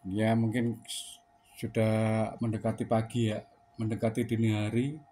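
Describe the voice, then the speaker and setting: A man's voice: lowish voice, level tone, a little slow, dark tone, slight background noise. Chatty man on amateur radio. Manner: chatty, polite. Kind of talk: casual ham radio contact.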